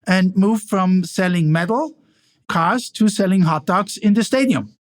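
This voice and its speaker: Man, Austrian accent, high voice, plainspoken, interview answers. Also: unhurried. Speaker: stadium operations chief. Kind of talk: interview remarks.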